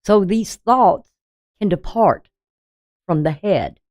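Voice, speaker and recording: A woman with a deep voice giving a casual daily astrology reading, talking bluntly and conversationally with frequent "you know". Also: lively intonation, takes it slowly, a little mellow, dry recording, studio quality. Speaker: astrology channel host.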